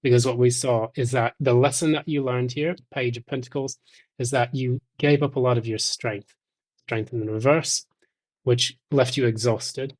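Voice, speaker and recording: A man giving an intuitive tarot reading straight to the listener, speaking in a measured, halting way with thoughtful pauses. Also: medium pitch, fairly even intonation. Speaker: tarot reader.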